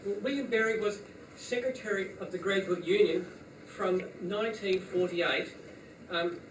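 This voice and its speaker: A man, chairman of the house board, giving a thank-you speech at an evening function in a measured, informative way. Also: high-pitched. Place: function room at an evening party. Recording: echoey room, far-off mic, background noise.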